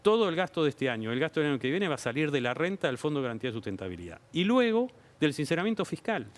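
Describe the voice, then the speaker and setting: A man with an Argentine accent, a government official explaining policy on a TV talk show in a measured, explanatory way. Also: on the high side, big pitch swings. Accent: Argentine Spanish. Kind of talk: TV interview answers. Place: TV studio.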